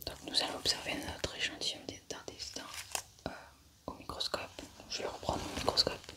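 Whispering young woman, ASMR. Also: moderate pace. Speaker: ASMR creator playing a scientist.

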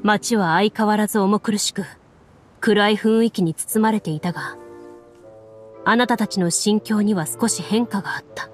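Woman, mid-pitched, measured storyteller, formal scripted game narration, faint background music. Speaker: game master narrator character. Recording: soft music under the voice.

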